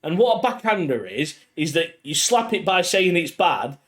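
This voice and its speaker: A man's voice: fairly high pitch, sing-song, moderate pace, a bit bright. A young man co-hosting a podcast in casual chat, teasing his co-host and laughing often.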